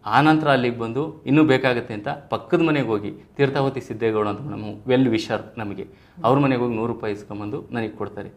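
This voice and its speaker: Male, thirties, Karnataka Kannada accent, mid-pitched, earnest and formal podcast guest, echoey room. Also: brisk. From Karnataka, India. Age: 30s. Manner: earnest, formal phrasing with English terms mixed in. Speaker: interview guest, police sub-inspector probationer. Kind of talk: podcast interview, personal storytelling. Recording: roomy echo, faint background noise.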